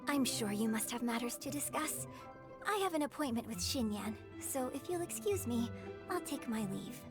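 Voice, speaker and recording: A woman's voice: fairly high pitch, lively intonation, normal speed, close to an everyday voice. A woman playing a gracious, humble young opera performer in a game, speaking formally and courteously. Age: young adult. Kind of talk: dramatic game voice acting. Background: music.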